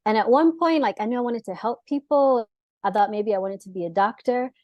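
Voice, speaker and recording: A young adult woman, born in the US and raised in Israel, chatting as a dietitian guest in a lively way, full of fillers and laughs. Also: on the high side, a little fast, no echo, muffled recording. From born in the US, grew up in Israel. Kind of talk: casual interview talk.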